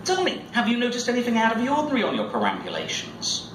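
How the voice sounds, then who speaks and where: A man's voice: extremely high pitch, huge pitch swings, quick pace, voice pushed up, exaggerated voice. Weary, doom-laden older spymaster, performed by a man. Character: weary, suspicious older male secret-agency boss. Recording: echoey, noisy room, distant mic.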